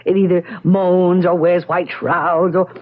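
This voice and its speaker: An actress playing a curious, determined wife who acts and narrates in a radio drama. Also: female voice, on the low side, little pitch movement, measured pace. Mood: bright, curious. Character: curious, determined American wife, narrating her ghost story. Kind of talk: radio drama acting and first-person narration. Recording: lo-fi radio drama broadcast, music behind.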